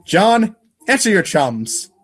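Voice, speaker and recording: A man's voice: sits high, big pitch swings, takes it slowly, fairly bright tone, breathy edge. A man narrating a webcomic readers' theater script in a wry way, now and then tripping over the text. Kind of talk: dramatic reading of webcomic narration.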